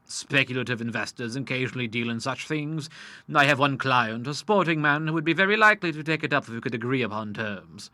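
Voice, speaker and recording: A man's voice: mid-range voice, a little fast, pushed and bright, no echo. A man voicing an amiable, polished old lawyer who sprinkles his talk with Latin. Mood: genteel. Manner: genteel, drops Latin phrases. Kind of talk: audiobook character voice.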